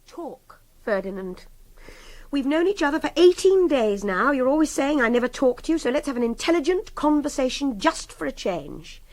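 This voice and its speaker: A defiant young English woman in a radio drama, articulate and hectoring. Her voice sits high and swings widely in pitch as she argues with impatient superiority. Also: very animated, moderate pace, darkish tone. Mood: impatient, goading. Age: young adult.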